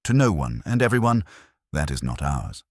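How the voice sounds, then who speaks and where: Man playing guarded female baker, audiobook mystery, terse, defiant, husky, low. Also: very animated, normal speed, no echo, studio quality, full character voice. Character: stern, guarded woman baker, tall and spare.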